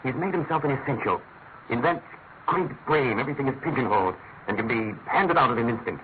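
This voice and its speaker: Brilliant, restless male detective for a classic radio mystery, played by a man: articulate, quick and lively in pitch, on a narrow-band recording. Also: slight background noise, close to an everyday voice. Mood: amused, expounding. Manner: articulate, declamatory, musing. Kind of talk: classic British radio drama acting.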